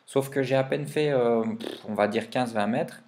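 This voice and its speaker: A man speaks at an average pitch in the relaxed register of a vlogger reviewing gear. He explains things step by step in informal, slangy wording. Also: normal speed. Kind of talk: casual gear review vlog.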